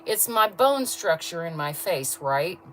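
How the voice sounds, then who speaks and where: Blunt low-voiced woman streamer. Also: very animated, a little slow, slight background noise. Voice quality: low voice register. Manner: blunt, talks straight to chat, laughs often. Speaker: livestreamer. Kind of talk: casual livestream chat with viewers.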